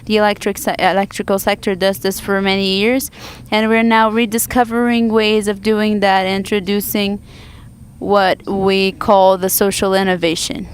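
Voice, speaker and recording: A woman interpreting a panel discussion live into English from a conference booth, halting often to restart and correct her words. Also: mid-range voice, level tone, a little hiss or hum.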